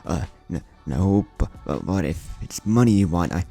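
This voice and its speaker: A man's voice: deep voice, animated, normal speed, vocal fry, warm tone, faint music behind, full character voice. A man voicing a frightened, cornered boss who stammers and pleads in an audio story. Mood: fearful, pleading. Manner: stammering, fearful. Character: terrified cornered rich boss pleading for his life.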